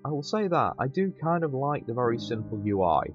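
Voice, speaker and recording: A man's voice: medium pitch, sing-song, a little fast, muffled recording, soft background music. A man hosting a game playthrough, commenting casually with wry, conversational asides. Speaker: host of a gaming channel.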